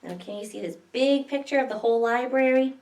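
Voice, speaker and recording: A woman's voice: a little high, a little fast, a little mellow, slight background noise. A woman reading picture books aloud to young children as a library story time host, expressively, with questions to her listeners. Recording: echoey room, distant microphone.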